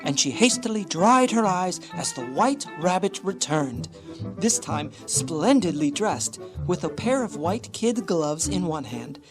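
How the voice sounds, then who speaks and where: Female storyteller, scripted narration, slightly low pitch, clear musical backing, radio drama. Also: lively intonation, measured pace, over music, poor audio.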